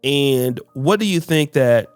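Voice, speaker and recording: A man's voice: mid-range voice, lively intonation, takes it slowly, clear, resonant tone, no room sound, soft background music. A man hosting a podcast discussion, often stumbling and repeating words as he searches for his phrasing. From United States. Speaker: podcast host.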